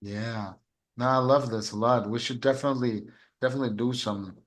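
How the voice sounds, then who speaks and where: A man's voice: medium pitch, fairly even intonation, fairly quick. A man, a musician, chatting enthusiastically on a video call, full of yeahs and fillers. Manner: enthusiastic, repeats yeah, fillers. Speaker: musician, host. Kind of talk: casual video call chat.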